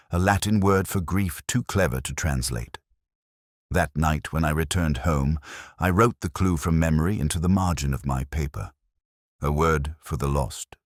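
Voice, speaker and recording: A man playing a melancholy, observant police inspector, narrating a detective audio drama in a measured, literary manner. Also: extremely low pitch, dry recording, studio quality.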